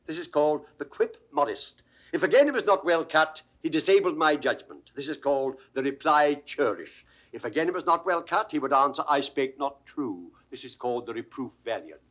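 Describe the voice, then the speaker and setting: A British actor playing a witty, mock-learned court jester in a Shakespeare audio drama, with mock-formal, theatrical delivery. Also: male voice, fairly high pitch, animated, a little fast, fairly bright tone. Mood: mock-pompous.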